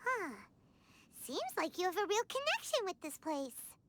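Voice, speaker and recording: A woman voicing a tiny, excitable, childlike fairy companion in a video game, squeaky and exclamatory. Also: unhurried, background noise, exaggerated voice. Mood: curious. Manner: exclamatory, refers to self in third person.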